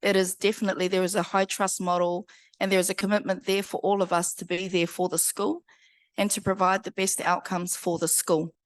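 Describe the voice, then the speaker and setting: A woman's voice: fairly low pitch, flat delivery, brisk, dry recording. Confident New woman, dry sound. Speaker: school board co-chair. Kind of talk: lecture Q&A. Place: parish hall.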